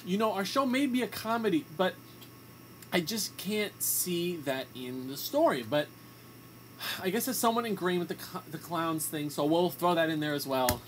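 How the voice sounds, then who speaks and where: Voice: mid-range voice, normal speed, slight background noise, low-quality recording. A radio host in improvised comedy banter, playing the bossy, exasperated straight man.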